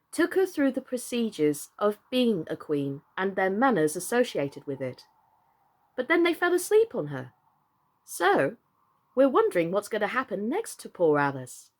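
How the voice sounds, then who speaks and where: A woman who is a professional actress reading a children's classic aloud, with a theatrical, expressive delivery. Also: mid-range voice. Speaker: storyteller.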